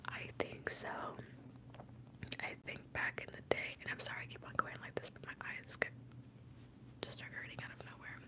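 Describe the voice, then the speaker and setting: A young woman from Mexico, a native Spanish speaker, whispering softly and casually very close to a sensitive microphone as an ASMR streamer. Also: low-quality recording. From born in Mexico, moved to the US as a young child. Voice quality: breathy whisper. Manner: soft, unhurried, close to the microphone. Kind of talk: ASMR whispering, casual rambling and answering chat. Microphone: close, highly sensitive microphone.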